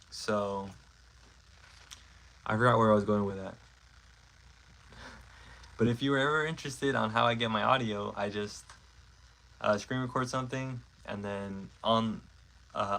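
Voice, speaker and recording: Gravelly young man rambling on a livestream. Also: fairly low pitch, a bit bright, low-quality recording. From Mexico. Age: 20s. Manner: rambling, filler-heavy, self-correcting. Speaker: stop-motion creator hosting a livestream. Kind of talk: casual livestream chat, reading comments.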